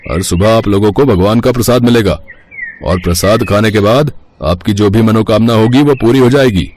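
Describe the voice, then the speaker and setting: Man playing a low-voiced greedy village headman. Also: moderate pace, a little mellow, slight background noise, lightly acted. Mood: grand, proclaiming. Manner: domineering, demanding. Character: greedy scheming male village headman, bullying and sly. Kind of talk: Hindi moral story cartoon dubbing.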